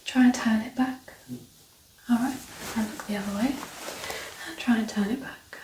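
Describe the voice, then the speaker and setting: A woman speaking softly and gently, often near a whisper, as a doctor in an ASMR medical exam roleplay. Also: fairly high pitch, fairly even intonation, moderate pace. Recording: roomy echo, distant mic, clear background noise.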